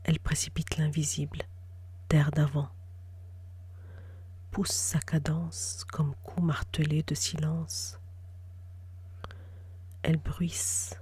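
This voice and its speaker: A woman's voice: low voice, mellow, husky. A woman reciting poetry in an intimate whisper. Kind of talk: whispered spoken-word poetry.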